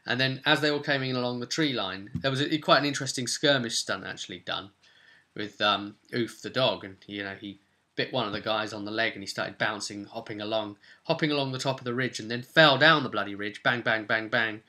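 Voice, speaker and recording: A man's voice: fairly low pitch, a little fast, pushed and bright. A man giving a casual, rambling, chatty recap of a tabletop role-playing session as its game master.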